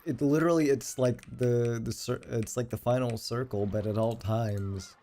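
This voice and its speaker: A man's voice: medium pitch, steady tone, normal speed, mellow. A man in his late twenties chatting casually on a livestream, rambling and self-deprecating with plenty of swearing. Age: late 20s. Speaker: game livestreamer.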